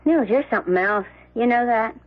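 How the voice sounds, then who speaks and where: A woman's voice: fairly high pitch, fairly quick, natural voice. A woman acting a jaded, blunt, defiant character in an old radio drama. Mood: sly, cool. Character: jaded, defiant, frank woman with tough edge. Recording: muffled, narrow-band old radio broadcast.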